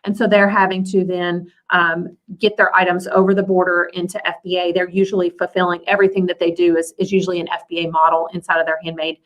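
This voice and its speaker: A woman's voice: on the low side, level tone, quick pace, hardly any pauses, muffled recording. A woman guest explaining her field in a conversational interview, carefully qualifying her points as she goes. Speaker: guest, seller and coach.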